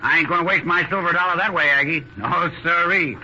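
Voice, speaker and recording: An actor playing a cocky, slangy young beau in the style of 1930s radio acting. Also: male voice, on the high side, fairly quick, bright, forceful tone, slight background noise. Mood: cocky, teasing. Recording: thin, dull 1930s radio recording.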